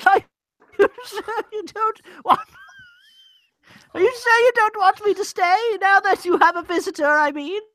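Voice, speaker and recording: A woman's voice: very high voice, measured pace, long pauses, fairly bright tone. A woman voicing a fussy, deferential old housekeeper who stammers and repeats herself, in an old-time radio drama spoof.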